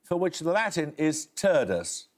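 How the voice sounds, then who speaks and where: A man hosting a quiz show, reading questions crisply and briskly in a studio. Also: a little high, sing-song. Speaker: quizmaster. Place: television studio.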